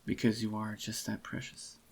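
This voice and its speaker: A man with a fairly low voice plays a possessive, tender boyfriend in soft-spoken ASMR. His delivery is very flat and even, intimate and unhurried. The voice sounds slightly groggy, and he dotes closely on his listener. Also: gravelly. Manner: intimate, doting, unhurried murmur. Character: adoring possessive young boyfriend, tender and clingy. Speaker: ASMR voice actor.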